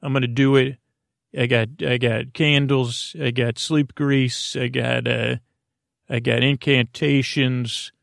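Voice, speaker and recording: A man hosting a sleep podcast in a creaky, lulling voice, rambling haltingly through tangents and correcting himself as he goes. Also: mid-range voice, little pitch movement, fairly bright tone, no room sound, studio quality. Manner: meandering, halting, full of tangents and self-corrections; soothing, lulling. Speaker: podcast host.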